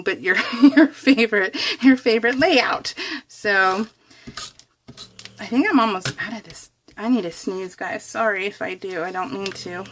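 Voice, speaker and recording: A woman's voice: medium pitch, fairly bright tone, slight background noise. A woman vlogger chatting casually through a craft project as she works, giggly and self-deprecating, rambling from one thought to the next. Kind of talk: casual chatty craft tutorial, narrated in real time.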